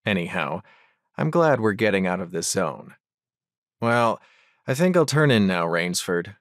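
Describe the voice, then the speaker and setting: A man's voice: deep voice, very animated, unhurried, dry recording, studio quality. A man acting a thoughtful, genial but uneasy hunting companion in an audio drama. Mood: genial, sleepy.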